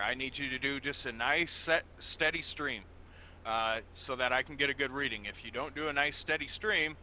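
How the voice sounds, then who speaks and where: A man's voice: on the high side, pushed and bright, lo-fi sound, a little hiss or hum. A man playing a patient, procedural police officer in an online game roleplay, explaining things step by step in a formal, instructional way. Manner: methodical, instructional, repeats himself, formal with sir.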